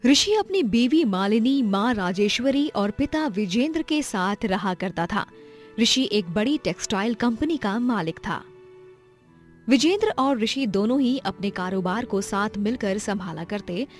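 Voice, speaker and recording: A woman's voice: mid-range voice, a little mellow. A woman narrating a scripted moral story as a storyteller.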